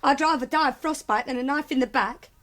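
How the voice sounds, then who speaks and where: An actress playing a feisty working-class English maid in a radio mystery, blunt, indignant and on edge. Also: female voice, high-pitched, fairly quick, fairly bright tone. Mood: defiant. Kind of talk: British radio mystery drama acting.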